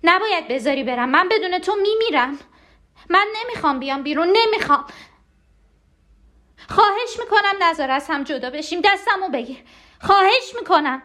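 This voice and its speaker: An actress playing a frightened, tender and clinging twin sister in an emotional audio drama monologue. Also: female voice, high-pitched, pauses often. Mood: panicked, desperate. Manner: intimate, emotional, pleading.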